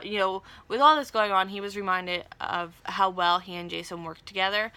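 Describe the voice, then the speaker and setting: A woman with a bright, forward voice hosts a casual recap in a chatty, conversational way. She drops in wry asides and slangy retellings. The sound is close and dry, with very little room to it. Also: mid-range voice, clear, resonant tone, no echo. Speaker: video recap host.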